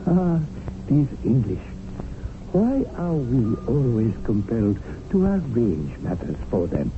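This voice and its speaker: Wry, deferential servant-narrator, tinny radio. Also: male voice, mid-range voice, very animated. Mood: wry, musing. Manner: formal, flowery, measured phrasing. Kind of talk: 1970s radio drama narration and acting. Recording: noisy narrow-band old radio broadcast.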